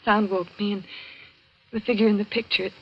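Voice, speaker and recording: A high-strung, fearful woman in an old radio drama. Her delivery is halting and pleading, with a fragile edge. The dull vintage recording softens her medium-pitched voice. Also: flat delivery, breathy edge. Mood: anxious. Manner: hesitant, stammering, pleading. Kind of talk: 1940s radio drama acting.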